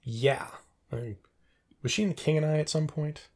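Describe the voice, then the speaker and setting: A middle-aged man in a casual trivia discussion, rambling and thinking out loud as he talks. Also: fairly high pitch, moderate pace, slightly rough. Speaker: podcast co-host.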